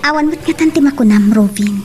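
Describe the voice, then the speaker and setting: A woman's voice: on the high side, expressive, a little fast, dark tone, faint music behind, close to an everyday voice. A woman playing a studious, headstrong young daughter in love, in a radio soap. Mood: hurt, pleading. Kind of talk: Philippine radio soap acting.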